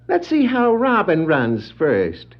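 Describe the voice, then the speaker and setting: Cackling clown supervillain, wildly swooping voice. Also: male voice, very high-pitched, full character voice. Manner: gloating, exclamatory, drawn-out delivery. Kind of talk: 1960s children's record radio-play acting. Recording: dull, noisy 1960s vinyl record dramatization.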